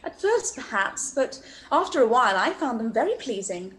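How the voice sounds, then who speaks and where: A woman plays a sweet young gentlewoman in a Regency drama. Her high voice is gentle and earnest, with faint noise behind it. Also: very animated, moderate pace. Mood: gentle, admiring. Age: young adult. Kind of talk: period drama read-through. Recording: faintly noisy video call.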